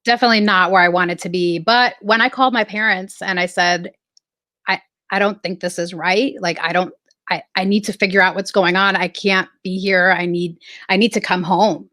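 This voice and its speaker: A woman from Southern California, a guest artist, telling her life story in a reflective, halting way with many fillers. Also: mid-range voice, steady tone, sharp tone, dry recording, clean studio sound. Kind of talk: casual interview storytelling.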